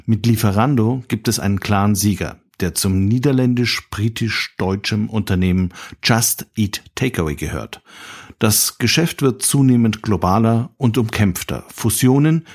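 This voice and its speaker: A man's voice: on the low side, a little mellow. A man hosting an interview podcast, presenting and asking questions. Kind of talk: scripted introduction, then conversational interview questions.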